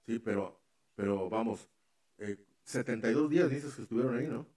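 A man's voice: medium pitch, a little fast, a little mellow. A man hosting a casual radio chat, rambling with many fillers and restarts. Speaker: radio show host.

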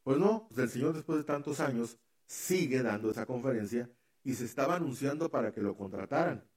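A man's voice: medium pitch, animated, a little fast, darkish tone. A man hosting a casual radio chat, rambling with many fillers and restarts. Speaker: radio show host.

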